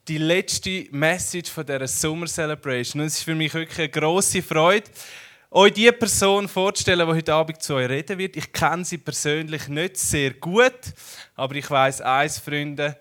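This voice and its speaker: A man's voice: fairly high pitch, fairly bright tone. A man hosting a church service on stage, upbeat and hyping the crowd. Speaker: church host. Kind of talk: stage announcement. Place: large church hall on stage.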